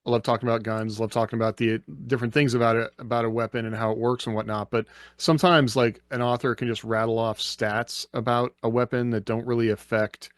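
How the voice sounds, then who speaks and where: A man's voice: mid-range voice, flat delivery, a little fast, few pauses, dry recording. A man giving his opinions casually as a panelist in a podcast discussion.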